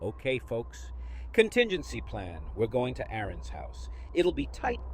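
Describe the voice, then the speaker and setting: A man's voice: medium pitch, wildly swooping pitch, measured pace, slight background noise. An actor playing a protective, take-charge male survivor, emphatic and plain-spoken, in an audio drama. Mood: reassuring. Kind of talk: fan audio drama acting.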